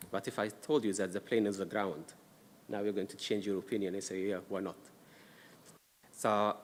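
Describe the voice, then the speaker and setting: Egyptian-accented man presenting in an echoey hall. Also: lowish voice. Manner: explanatory, frequent "uh" fillers, careful pacing. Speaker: risk management presenter. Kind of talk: council workshop presentation. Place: council meeting room. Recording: distant mic in a reverberant room with noise.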